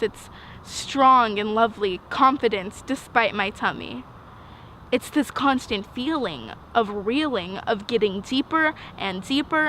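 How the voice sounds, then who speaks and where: A young woman in her teens performing rhythmic spoken word poetry, earnest and emphatic, with dramatic pauses. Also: a little high, a bit bright. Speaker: slam poet. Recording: outdoor urban noise, passing vehicles.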